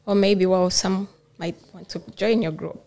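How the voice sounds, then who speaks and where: A woman's voice: on the low side, fairly even intonation, normal speed, vocal fry, dark tone. A woman hosting a podcast interview, chatting in an upbeat, encouraging way. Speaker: podcast host. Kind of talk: casual interview chat.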